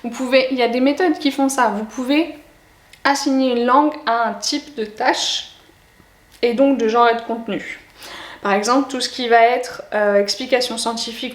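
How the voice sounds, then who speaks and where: A woman presenting an educational video, explaining in a didactic way and addressing viewers directly as a language teaching presenter. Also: on the high side. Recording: echoey, roomy sound.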